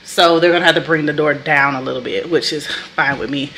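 A woman's voice: sits low, fairly quick, fairly bright tone, a little hiss or hum, poor audio. A middle-aged woman vlogging casually to a phone camera, rambling and chatty, swearing offhand and laughing often. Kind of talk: casual vlog chat to camera.

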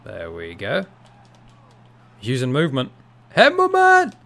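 A young man doing casual, chatty live commentary on a fighting game from a small room at home, shouting out reactions and laughing with viewers. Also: mid-range voice, wildly swooping pitch, measured pace, vocal fry, slight background noise. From half Cypriot. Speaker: gaming streamer.

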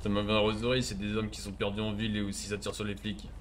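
A man's voice: on the low side, completely flat delivery, quick pace, a loud crowd. A man improvising casual game roleplay as a crime boss, bossy and slangy, with swearing.

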